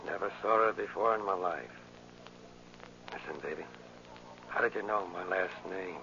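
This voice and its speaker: A man's voice: sits low, normal speed, vocal fry, pushed and bright, exaggerated voice. An actor playing a cocky, flirtatious tough guy with slangy, smug swagger in a 1940s radio drama. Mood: smug flirting. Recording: muffled, noisy old radio recording.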